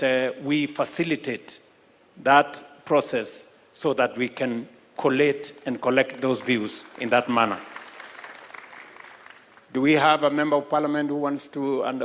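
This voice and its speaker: A man chairing a public forum in a measured, deliberate way. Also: medium pitch, steady tone, a faint crowd. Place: large conference hall. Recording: long hall reverb.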